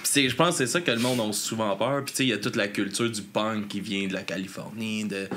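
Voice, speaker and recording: A man's voice: fairly low pitch, a little fast, slight background noise. A Québécois man hosting a casual podcast interview, rambling and laughing easily. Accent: Québécois French. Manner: relaxed, rambling, laughs often. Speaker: podcast host.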